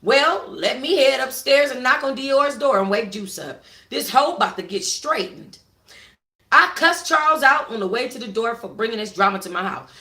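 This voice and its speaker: A woman's voice: fairly high pitch, lively intonation, normal speed, bright, forceful tone, roomy sound. A woman narrating a first-person story for a book club in a dramatic, sassy, slangy manner.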